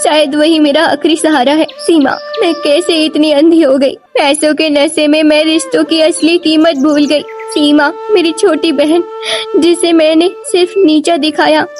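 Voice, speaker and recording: Woman acting as proud city-educated elder sister, young, high voice, melodramatic, cartoon morality tale. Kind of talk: Hindi cartoon moral story dialogue.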